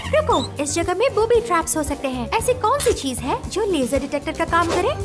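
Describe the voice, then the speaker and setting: A woman's voice: very high voice, very animated, a little fast. A woman voicing a clever, analytical young girl spy in a cartoon dub, explaining things precisely. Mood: alert. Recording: music playing under the voice.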